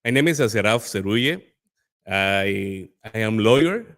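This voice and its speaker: A man's voice: fairly low pitch, expressive, measured pace, a bit bright. A man, a Spanish speaker, introducing himself in simple English. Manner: simple, plain phrasing. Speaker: lawyer. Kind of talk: casual self-introduction.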